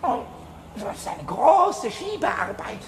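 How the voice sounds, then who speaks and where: A man playing a small, mischievous, childlike kobold in a children's radio play, stammering and repeating words excitedly in a squeaky, scratchy voice. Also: takes it slowly, gravelly, heavily acted. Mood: scheming, excited.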